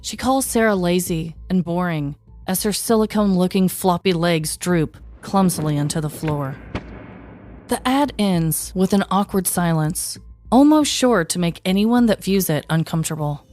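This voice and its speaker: A woman's voice: lowish voice, animated, normal speed, a little mellow, no echo, soft background music. An American woman in her thirties narrating a scripted comedic story as a podcast host, with a wry, dramatic delivery. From United States. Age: 30s.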